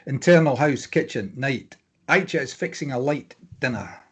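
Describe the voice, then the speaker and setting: Husky man reading stage directions. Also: medium pitch, expressive, moderate pace. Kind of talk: scripted narration.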